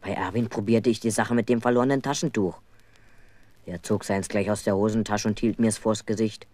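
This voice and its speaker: A man's voice: on the low side, fairly even intonation, a little fast, a little mellow, dry recording, natural voice. An actor playing an earnest, aggrieved schoolboy who tells his own story in a 1970s German children's radio play. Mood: earnest storytelling.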